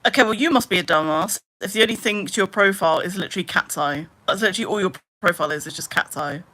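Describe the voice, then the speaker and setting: A woman in her late twenties chatting casually on a live stream, rambling with lots of "like" and trailing into low mutters. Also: quick pace, sharp tone. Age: 20s. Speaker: livestreamer.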